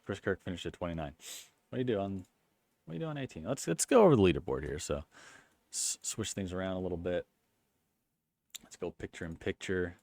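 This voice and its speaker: Low monotone man recapping golf. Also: a little fast, vocal fry, long pauses, no echo. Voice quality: monotone, low-energy delivery. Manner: rambling, many fillers and restarts. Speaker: golf channel host. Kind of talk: casual commentary recap.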